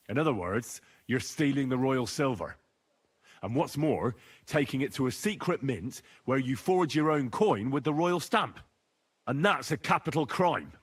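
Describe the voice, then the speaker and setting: A man's voice: mid-range voice, breathy edge. An actor playing a plain-spoken, self-assured but respectful young fighting man in a medieval video game. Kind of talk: dramatic video game acting.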